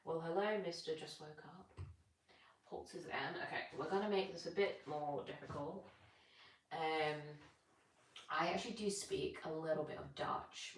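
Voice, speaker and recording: A woman's voice: low-pitched, a bit bright. A young British woman chatting casually on a live stream while she stretches, rambling and answering comments. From Britain. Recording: echoey room, distant from mic.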